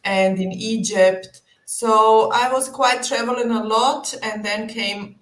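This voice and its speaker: A woman from Croatia, a belly dancer talking on a live video call, lively, laughing often and searching for words. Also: fairly high pitch, slow, reverberant. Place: home room, on a video call.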